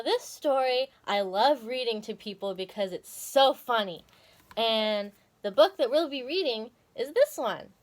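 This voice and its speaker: A woman hosting and reading a children's story expressively, with casual asides to viewers. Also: a little high. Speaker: storyteller and video host.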